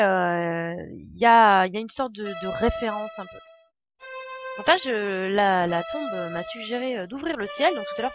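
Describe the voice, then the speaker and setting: A woman giving casual live game commentary as a streamer, chatty and playful, wandering into asides. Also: medium pitch.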